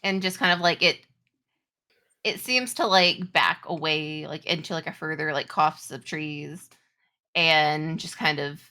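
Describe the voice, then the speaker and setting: A woman with a slightly low, bright, clear-cut voice runs a tabletop game. She narrates slowly and haltingly, feeling for each word as she improvises the scene. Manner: halting, searching for words, repeats "like". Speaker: game master.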